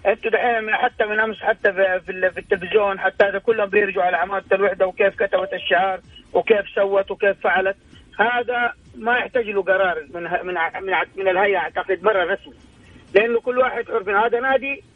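A man's voice: sits high, steady tone, very fast, slightly rough. A man with a Hijazi Saudi accent calling in by phone, rambling and repeating himself as he argues like a fan. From Mecca, Saudi Arabia. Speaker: phone-in caller. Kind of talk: heated casual fan chat. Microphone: telephone. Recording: tinny narrow phone line.

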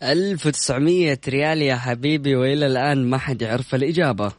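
A man's voice: medium pitch, fast, no room sound. A man with a Saudi accent presenting a morning radio show in a chatty, familiar, affectionate way. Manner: chatty, familiar, addresses listeners and callers affectionately. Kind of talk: lively radio presenting with a phone-in quiz. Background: music bed.

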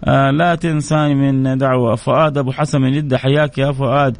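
A man's voice: mid-range voice, steady tone, fast talker. A man hosting a live radio program, reading out listeners' names and messages and answering each with a blessing.

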